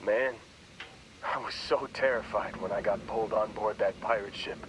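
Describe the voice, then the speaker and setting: An actor playing a groggy young space miner in a video game, talking in a wry, rambling, hesitant way. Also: male voice, lowish voice, normal speed, background noise, lightly acted. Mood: rambling, amused. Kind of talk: video game character dialogue.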